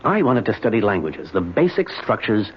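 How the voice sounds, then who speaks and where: A man's voice: fairly low pitch, very animated, normal speed. An actor playing a weary, evasive middle-aged businessman in an old-time radio drama, speaking in a hesitant, pleading way. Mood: weary, resolute. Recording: muffled, noisy vintage radio recording.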